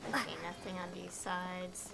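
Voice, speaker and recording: A woman's voice: fairly low pitch, fairly even intonation, measured pace, creaky, rough recording. A woman streamer giving casual, rambling video game commentary, often interrupting herself. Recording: game music behind the voice. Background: video game music.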